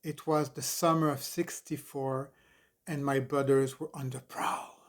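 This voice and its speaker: A man in his sixties from Montreal reading his memoir aloud in an expressive, deliberate, dramatic narrating style. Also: mid-range voice. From Montreal, Canada. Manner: expressive, deliberate, emphatic storytelling. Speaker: author reading own memoir.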